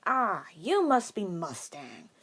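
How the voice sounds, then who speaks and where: An old male bartender as a woman narrator plays him in an audiobook tale. He is familiar and nosy, exclaiming in a raised pitch. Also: huge pitch swings, takes it slowly, fairly bright tone, breathy edge, full character voice. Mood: welcoming.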